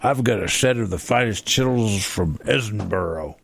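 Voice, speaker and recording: Man playing a creaky, bragging old-west handyman. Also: lowish voice, expressive, moderate pace, a little hiss or hum. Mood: showing off. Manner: folksy, competitive bragging. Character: gruff, boastful old-west handyman rival, showing off. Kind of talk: improvised comedy radio skit.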